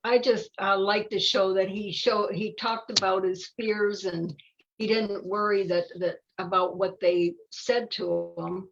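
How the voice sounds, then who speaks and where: An older woman talks casually about a children's story, feeling for her words as she goes. Her voice sits at an ordinary pitch, and the recording is slightly dull and lo-fi. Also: steady tone, gravelly, a little hiss or hum. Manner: halting, searching for words. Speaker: volunteer storybook reader. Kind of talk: casual discussion of a children's book.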